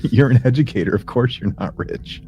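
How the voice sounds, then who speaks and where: A man's voice: mid-range voice, animated, brisk, warm tone, slight background noise, rough recording. A man co-hosting a podcast in casual conversation, rambling and joking in slangy everyday language. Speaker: podcast co-host. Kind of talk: casual chat and anecdotes between friends.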